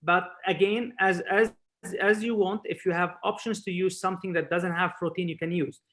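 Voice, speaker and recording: English-speaking man from Spain, explaining haltingly. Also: high-pitched, steady tone, a bit bright. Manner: halting non-native English, repeating words. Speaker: surgeon lecturer, webinar speaker. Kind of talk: informal expert talk on a video webinar. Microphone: video call.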